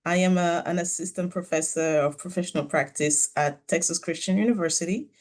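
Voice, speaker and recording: A woman from France whose first language is French, speaking as an interview guest over a video call from her office, telling her story in a measured, reflective way with soft laughs. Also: lowish voice. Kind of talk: conversational interview.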